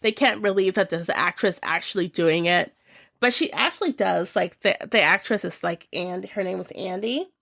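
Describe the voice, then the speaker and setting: A woman's voice: fairly low pitch, normal speed, creaky, fairly bright tone, no room sound, clean studio sound. A young woman chatting casually and enthusiastically as she reviews her favorite shows of the year, with many fillers.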